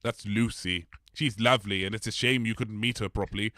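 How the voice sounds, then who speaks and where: A man's voice: lowish voice, steady tone, fairly quick, pushed and bright, no echo, clean studio sound. A man playing a polite, bumbling blob creature in a comedy audio drama, hesitant, rambling and apologetic. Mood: genial, hesitant.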